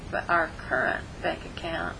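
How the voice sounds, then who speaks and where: A woman delivers a treasurer's report in a plain, matter-of-fact way, reading off figures one after another. Her voice is lowish and heavily gravelly, with scratchy fry on many words. Steady noise sits behind her. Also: normal speed. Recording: noticeable background noise.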